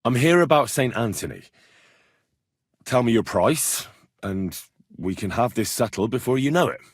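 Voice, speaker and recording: Young medieval adventurer for a game: blunt and earthy, quick to threaten, husky voice, very mobile pitch, dry studio sound. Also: male voice, lively intonation, dry recording. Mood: earnest, matter-of-fact. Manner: blunt, earthy, sweary, conversational. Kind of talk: video game dialogue acting.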